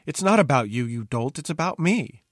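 A haughty young storybook princess acted by a man. She is sharp-tongued and imperious, scolding with impatient exasperation, recorded dry. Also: medium pitch, expressive, normal speed, dry recording, natural voice. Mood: exasperated.

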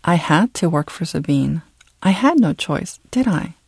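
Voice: medium pitch, lively intonation, measured pace, gravelly, a little mellow, husky, exaggerated voice. A reader voicing a defiant young woman, an indignant grown daughter who speaks in clipped words, in an audiobook novel. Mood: defensive.

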